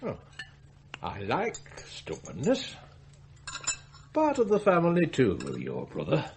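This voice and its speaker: An actor playing a blunt, domineering older English business magnate in a radio drama, curt and commanding. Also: male voice, a little high, huge pitch swings, slow, gravelly, a little mellow, a little hiss or hum, heavily acted. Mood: gruff, needling.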